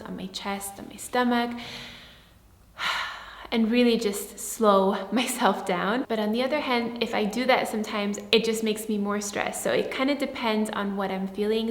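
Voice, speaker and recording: A young woman from Sweden, a native Swedish speaker, talking in a soft, earnest way and often saying "like" while she answers viewer questions. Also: medium pitch. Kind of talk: casual Q&A to camera. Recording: echoey room with long reverb.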